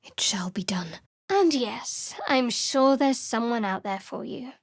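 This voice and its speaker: A woman voicing a guarded young female cleric of a dark goddess in a video game, cool, reserved and wry, whispering her prayers close to the mic. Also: fairly high pitch, animated, a little slow, dry recording. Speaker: game companion character. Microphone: close studio mic.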